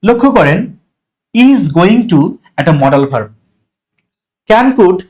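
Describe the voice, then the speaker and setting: A man's voice: high-pitched, sing-song, slow, darkish tone. A man with a Bangladeshi Bengali accent teaching an English grammar lesson, explaining step by step and asking and answering his own questions. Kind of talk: classroom-style grammar lesson.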